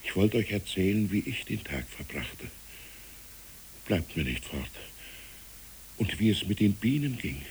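A man's voice: on the low side, very animated, a little slow, pauses often, poor audio. An older man acting a fanciful, tender old village pastor in a 1960s radio play, pleading and dreamy as he rambles on to children. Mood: wistful, pleading. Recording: hissy 1960s radio recording.

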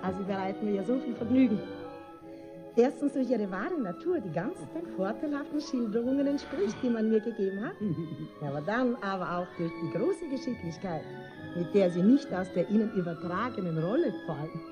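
A woman's voice: mid-range voice, animated, a little fast, dull audio, music in the background, close to an everyday voice. An actress playing an elegant, flirtatious noblewoman with a playful, polite manner in a radio comedy. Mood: gracious, teasing. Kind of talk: classic German radio play comedy acting.

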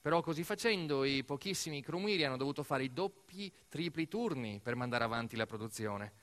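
A man performs a dramatic narrative monologue as an actor, telling the story deliberately in short, weighted phrases. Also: mid-range voice, expressive, a bit bright. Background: music.

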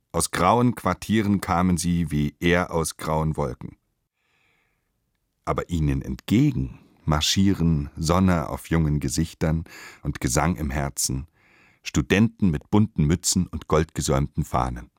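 Very deep, slow-speaking man narrating literary drama. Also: no room sound, studio quality. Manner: measured, declamatory, emphatic phrasing.

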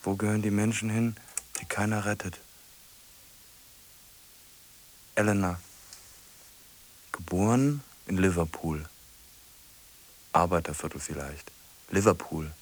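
An actor playing a solitary man musing aloud in a radio play, halting, repeating phrases and muttering questions to himself. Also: low-pitched, fairly even intonation. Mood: pondering, resigned. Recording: music playing behind the voice.

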